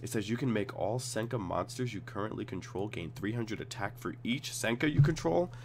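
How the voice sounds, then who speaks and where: A man with a cold and a slightly raspy voice, casually reading card text aloud and reacting as he reviews cards at his computer. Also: lowish voice, animated, brisk, darkish tone, slight background noise. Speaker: card game tutorial host.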